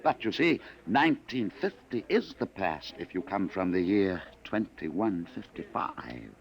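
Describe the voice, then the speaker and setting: An actor playing a smooth, falsely genial hunter of runaways in a 1950s radio drama, insinuating and mock-polite. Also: male voice, low voice, very animated, measured pace, lo-fi sound, full character voice. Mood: slyly menacing. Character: smooth menacing man hunting runaway time travellers, falsely genial. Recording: restored 1950s radio recording with hiss.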